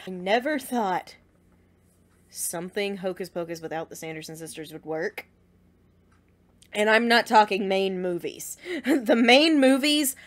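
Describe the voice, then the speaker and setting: A woman hosting a casual reaction vlog, gushing and slangy in a dramatic, excited fan's way. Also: on the high side. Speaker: reaction video host.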